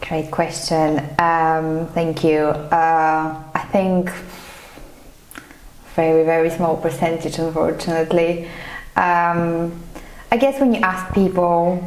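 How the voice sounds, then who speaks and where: A woman's voice: low-pitched, flat delivery, slow pace. A woman from Bulgaria answering questions as an interview guest, in a thoughtful, hesitant conversational way. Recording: echoey, reverberant room sound.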